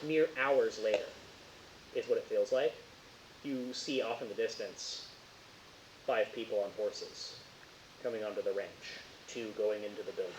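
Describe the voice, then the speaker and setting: Low-voiced casual man, roomy hissy recording. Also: a little mellow. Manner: casual, halting, searching for words. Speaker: game master. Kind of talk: improvised narration of a tabletop horror game.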